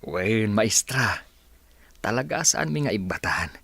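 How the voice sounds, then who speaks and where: A man's voice: lowish voice, expressive, slow pace, gravelly, pushed and bright, slightly rough, no echo, exaggerated voice. A man with a Filipino accent playing an obliging, good-natured village carpenter and father in a radio drama. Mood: warm.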